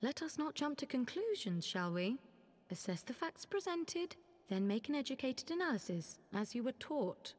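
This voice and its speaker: A woman voice-acting a poised, teasing, scholarly mentor in a video game, speaking in measured, formal old-fashioned phrases. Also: high voice, big pitch swings. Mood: composed, instructive.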